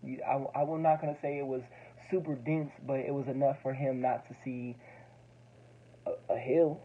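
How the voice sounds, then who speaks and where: A man's voice: mid-range voice, steady tone, normal speed, dull audio, a little hiss or hum. A young man in his twenties, a student pilot, explaining recorded radio calls in a casual, slangy way, repeating himself often. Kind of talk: casual explainer over playback.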